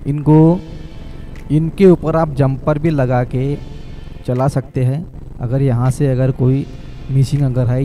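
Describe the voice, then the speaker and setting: Man with a very dark voice. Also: medium pitch, low-quality recording. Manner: explains step by step, often says "friends" to viewers. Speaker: mobile repair technician. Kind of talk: phone repair tutorial.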